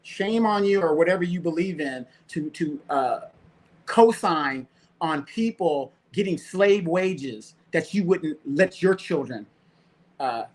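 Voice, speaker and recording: A man's voice: on the high side, expressive, slow pace. A man with an American accent giving casual political commentary as a co-host, emphatic and argumentative, speaking straight to the listeners. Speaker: talk show co-host.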